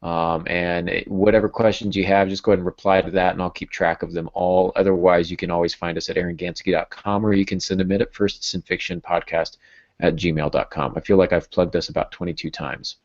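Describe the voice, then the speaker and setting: Male podcast host, thirties, deep voice, dryly self-deprecating, casual delivery over muffled video-call audio. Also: little pitch movement, fairly quick. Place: small home room with bookshelves. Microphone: earbud headset on a video call.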